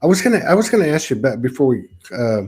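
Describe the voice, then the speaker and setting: A man from Texas with a Texan accent, hosting a casual spiritual interview over a video call and talking in halting, rambling runs full of restarts. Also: mid-range voice, sing-song, a little mellow, breathy edge. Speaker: podcast host.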